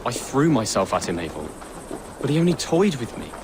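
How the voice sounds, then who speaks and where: A man's voice: mid-range voice, very animated, fairly quick, background noise, close to an everyday voice. An actor playing an earnest young prince after his first battle. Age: young adult. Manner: earnest, eager. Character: young prince, eager and brave.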